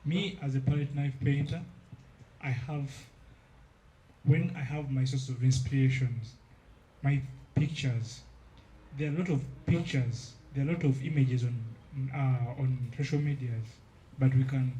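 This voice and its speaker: A man's voice: mid-range voice, fairly even intonation, a little slow, dark tone, low-quality recording. A man who paints with a palette knife, earnestly explaining his process to an audience and often checking that they follow.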